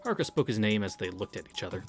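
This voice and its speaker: A man with a lowish, very animated voice narrates a visual novel read-through. He is casual and irreverent, breaking off for crude jokes, with music playing behind him. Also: very fast. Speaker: streamer reading a visual novel aloud.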